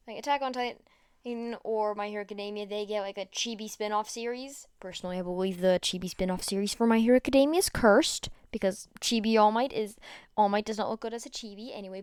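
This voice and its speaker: A woman with a high, smooth voice, recorded close and dry. She reads and chats like an amateur podfic narrator, expressive but often tripping over a phrase and starting it again. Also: dry recording. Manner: stumbles over words and rereads phrases. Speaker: podfic reader. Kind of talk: casual introduction and expressive amateur story reading.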